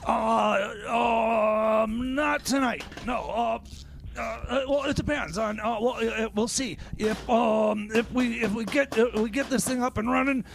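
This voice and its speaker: A man playing a scatterbrained, excitable inventor with a secret scheme, stammering haltingly in an improvised radio comedy. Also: extremely high pitch, gravelly, heavily acted. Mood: evasive, excited.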